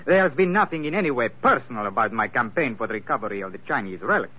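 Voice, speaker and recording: An actor playing a suave, courteous villain with formal, hesitant politeness in an old radio drama. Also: male voice, medium pitch, animated, fast talker, breathy edge. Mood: smooth, persuasive. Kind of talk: 1940s radio acting. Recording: tinny, noisy old radio recording.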